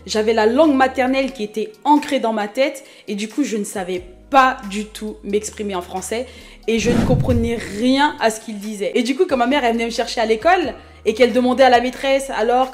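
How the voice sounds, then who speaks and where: A woman in her thirties from Haiti, chatting casually and self-mockingly to her viewers as she introduces herself. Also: a little high. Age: 30s. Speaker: YouTube vlogger.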